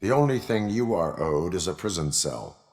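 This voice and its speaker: An actor playing a stern, stoic cyborg police officer in a video game, speaking in a deadpan, clipped and formal way. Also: male voice, low-pitched, moderate pace. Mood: cold, commanding. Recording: reverberant hall-like echo.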